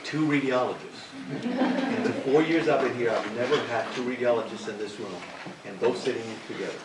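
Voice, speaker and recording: Brisk animated man in an echoey room. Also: mid-range voice. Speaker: medical school faculty host. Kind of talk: casual group introductions. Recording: echoey room, distant mic, loud noise.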